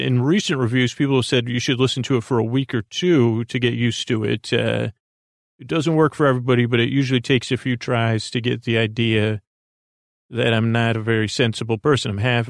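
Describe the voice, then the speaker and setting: A man with a creaky voice hosting a bedtime podcast in a soothing, halting monologue that meanders off into whimsical tangents. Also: medium pitch, no echo, studio quality. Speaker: podcast host.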